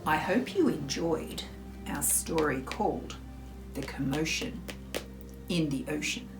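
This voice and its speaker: A woman from Australia reading a children's picture book aloud in a deliberate, theatrical way for small children. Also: deep voice. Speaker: storyteller, school librarian. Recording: roomy echo, faint music behind.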